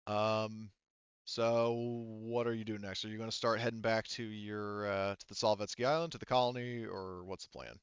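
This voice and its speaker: A man's voice: fairly low pitch, steady tone, normal speed, smooth, no echo, muffled recording. A man casually running a tabletop roleplaying game as game master, thinking aloud in an informal way.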